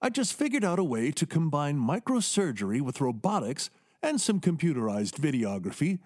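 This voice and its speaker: A man's voice: on the high side, sing-song, normal speed, a little mellow. A man playing a charming, brilliant neurosurgeon in a radio drama, speaking expansively and persuasively. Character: brilliant, charming middle-aged male neurosurgeon.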